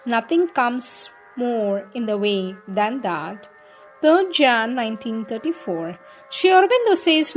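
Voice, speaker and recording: A woman reading spiritual letters aloud in a devotional style, with deliberate delivery. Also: on the high side, a little mellow. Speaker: reader of spiritual teachings. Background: music in the background.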